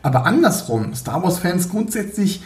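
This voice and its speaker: A man's voice: high voice, very animated, normal speed, roomy sound. A man in his forties from Berlin, chatting as an interview guest, hesitant with many fillers and restarts. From Berlin, Germany. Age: 40s. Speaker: podcast guest, 3D graphics artist. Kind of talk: casual interview chat.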